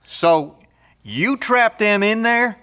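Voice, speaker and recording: Bright-voiced folksy wasteland survivor. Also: male voice, high voice, animated, takes it slowly, smooth, full character voice. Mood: incredulous. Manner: folksy, colloquial, drawling phrases. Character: folksy, handy post-apocalyptic survivor, blunt and skeptical. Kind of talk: audio drama acting.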